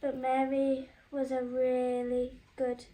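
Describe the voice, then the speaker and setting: High, very monotone woman reading slowly. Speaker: school staff reader. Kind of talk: reading a children's story aloud.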